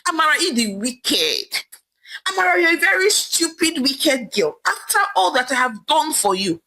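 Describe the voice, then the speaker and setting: A woman's voice: high voice, very animated, moderate pace, sharp tone, pitched above the performer's own voice, heavily acted. A woman with a Nigerian accent voicing a furious, domineering rich husband who scolds and threatens in a folktale. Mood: furious, threatening. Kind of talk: dramatic folktale storytelling.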